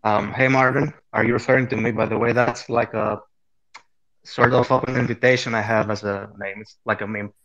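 A man's voice: fairly low pitch, steady tone, fairly quick, a bit bright, muffled recording. A man from Greece with a Greek accent asking a question on a live audio call, hesitant and apologetic with many uhs. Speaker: caller.

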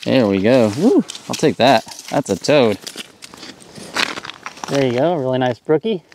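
A man in his thirties on a frozen lake outdoors, explaining fishing electronics in a relaxed, casual demonstration. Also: medium pitch, measured pace, noisy background. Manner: explanatory, relaxed, upbeat when catching fish. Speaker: fishing channel host.

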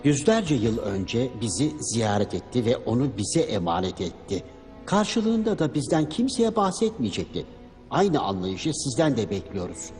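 Man voicing old cartoon elder, grave, formal, expressive intonation, long reverb. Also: medium pitch, normal speed, lightly acted. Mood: solemn. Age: older. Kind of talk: dubbed cartoon acting. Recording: long reverb, faint music.

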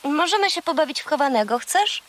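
An actress playing a lively, affectionate young girl in a children's audio drama. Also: high voice, brisk. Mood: playful.

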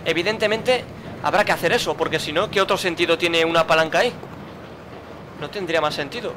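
A man's voice: fairly high pitch, brisk, background noise. Grumbling man narrating a game. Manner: frustrated, swearing, muttering to himself. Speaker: gameplay commentator. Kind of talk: let's play commentary.

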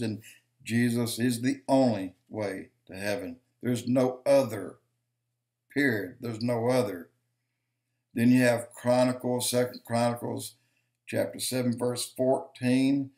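An older man giving slow, emphatic preaching-style commentary to a webcam in his home office, counting off points and repeating lines for stress. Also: mid-range voice, steady tone, darkish tone. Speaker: video commentator.

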